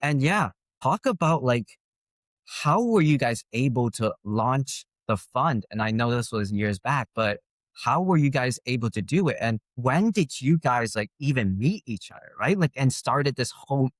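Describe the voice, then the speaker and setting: A man's voice: mid-range voice, expressive, unhurried, no echo. A young man hosting a podcast interview, talking casually and filling his speech with many "like"s. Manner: casual, filler-heavy with many "like"s. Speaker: podcast host.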